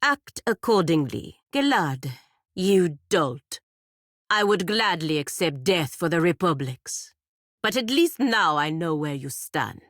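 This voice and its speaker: A woman's voice: on the low side, big pitch swings, slow, a bit bright, dry recording, studio quality, exaggerated voice. A woman playing a proud, stern godlike warrior in a video game, speaking formally and bluntly with haughty disdain. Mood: stern warning, then cold anger. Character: proud, stern godlike female warrior serving her republic. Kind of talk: scripted video game character dialogue.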